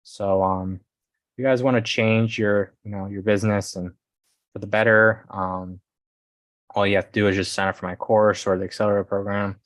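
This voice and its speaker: A man's voice: on the low side, little pitch movement, moderate pace, dry recording. A man hosting a casual coaching livestream, rambling along and often checking in with his listeners. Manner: rambling, often tags sentences with "okay" and "guys". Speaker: host of a business coaching livestream.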